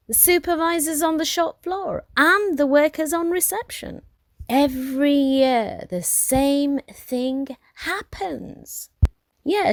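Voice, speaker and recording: Woman acting as sarcastic supervisor, fairly high, unhurried, scolding, dry close sound, radio comedy. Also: no room sound, exaggerated voice. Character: sarcastic female workshop supervisor, Santa's exasperated colleague. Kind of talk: comic radio play acting.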